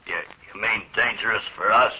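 An actor playing a rough, nervous male henchman who talks in ungrammatical slang in a 1940s radio drama. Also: fairly low pitch, fairly even intonation, brisk, pushed and bright. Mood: nervous gruff. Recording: dull lo-fi old radio broadcast.

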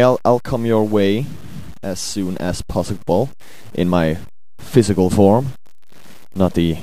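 A young Swedish man with a Swedish accent, hungover, rambling crude thoughts into a home mic in a hesitant, deadpan way. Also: low voice, low-quality recording. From Sweden. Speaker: amateur audio ranter. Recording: home recording with buzz and music.

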